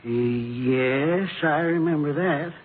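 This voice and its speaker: Casting: plaintive, evasive widower for period radio crime drama; fairly high voice, hesitant, on a dulled old recording. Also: male voice, extremely slow. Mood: wounded, defensive. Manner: hesitant, plain-folks, wounded. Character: bereaved husband under questioning, plaintive, defensive, evasive. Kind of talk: 1950s radio drama acting. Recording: muffled, noisy old-time radio recording.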